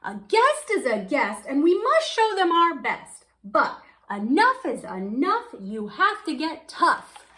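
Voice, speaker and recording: A woman's voice: high voice, huge pitch swings, takes it slowly, pushed and bright, pitched above the performer's own voice, full character voice. A woman voicing a kindly, hospitable male farmer in a children's storybook, with emphatic, sing-song proclamations. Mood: fed up.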